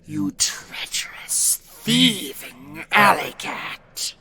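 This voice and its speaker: A woman playing a proud, possessive female dragon in an audio roleplay, haughty and theatrical, with a gravelly, menacing delivery. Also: mid-range voice, in a whisper. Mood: furious, menacing. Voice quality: gravelly, breathy growls. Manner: haughty, theatrical, slow deliberate threats.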